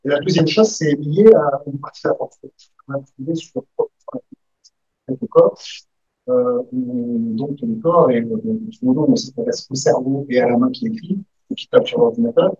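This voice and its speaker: A man's voice: medium pitch, moderate pace, mellow, slightly rough, no room sound. A man in the audience of a university conference, stammering and searching for words as he comments and asks a question. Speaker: audience member asking a question. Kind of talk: academic discussion. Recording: dull muffled sound.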